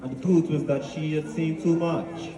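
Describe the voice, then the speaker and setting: Male monologist, solemn declamatory oratory, measured phrasing; roomy, slightly distant recording over music. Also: on the high side, steady tone, crowd noise. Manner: solemn, deliberate, declamatory with pauses. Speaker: spoken word monologist. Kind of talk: poetic dramatic monologue, oratory. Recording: echoey, distant voice with music behind.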